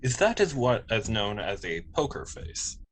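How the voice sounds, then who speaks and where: Naive, logical android officer, read by a man, formal and exact, animated pitch movement, amateur sci-fi drama. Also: moderate pace, a little hiss or hum. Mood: puzzled. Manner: precise, formal wording. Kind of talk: amateur table read of TV script.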